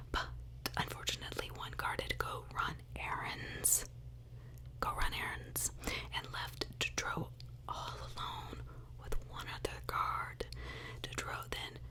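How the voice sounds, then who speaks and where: A woman tells a true-crime story in a hushed ASMR whisper. She takes it very slowly, leaning on words and repeating phrases for emphasis, and slips in her own asides as host. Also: rough recording.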